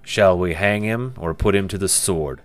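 A narrator putting on an eager soldier making a formal report, blunt and brisk. His voice is deep, with a little more push than the telling around it. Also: soft background music, natural voice. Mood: dutiful.

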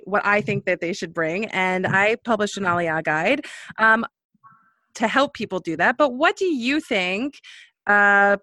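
A woman hosting an organizing podcast, friendly and quick to laugh while interviewing a guest. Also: mid-range voice, pushed and bright, no echo. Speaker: podcast host, professional organizer.

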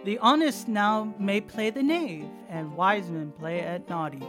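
A woman's voice: medium pitch, measured pace. A woman reciting old festive verse as a performed reading. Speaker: verse reader. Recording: guitar music under the voice. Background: plucked guitar music.

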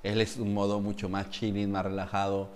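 A middle-aged man with a Mexican accent hosts a relaxed online chat. His voice sits on the low side, and he talks in a chatty, teasing way, often pausing with fillers. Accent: Mexican Spanish. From Mexico City. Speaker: host. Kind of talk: casual live-stream chat.

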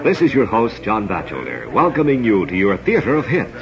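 A man's voice: fairly low pitch, wildly swooping pitch, brisk. A man hosting an old-time radio theatre program with formal, theatrical showmanship. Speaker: radio show host and announcer. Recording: dull old radio recording, crowd noise. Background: murmuring crowd.

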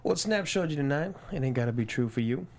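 This is a man performing an older guardian in a drama read aloud. The voice is creaky and gravelly. The character is stern, with tenderness underneath. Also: a little high, expressive, fast talker, darkish tone. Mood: tender, grave. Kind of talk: table read of a screenplay.

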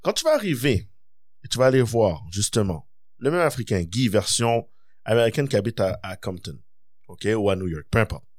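A man's voice: on the low side, fairly quick, no echo, studio quality. A man, telling stories in a casual podcast discussion and acting out the dialogue. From Quebec. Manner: expressive storyteller, acts out dialogue.

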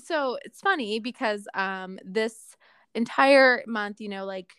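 A woman's voice: medium pitch, slow pace, a bit bright, clear, resonant tone, no room sound, studio quality. Candid woman in her thirties. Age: 30s. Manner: candid and self-deprecating, frequent fillers. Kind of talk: casual chat.

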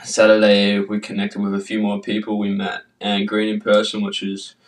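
Male podcast co-host, low voice, slightly off-mic, mild cold, easygoing rambling delivery. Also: normal speed, recorded from a distance, rough recording. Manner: relaxed, rambling, guided breathing intro. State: has a cold, coughing. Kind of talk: casual podcast chat.

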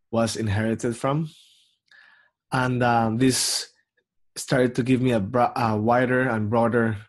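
Reflective young man hosting a podcast. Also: medium pitch. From Mexico. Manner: slow, reflective, with many pauses and ums. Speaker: podcast host. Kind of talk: solo podcast monologue.

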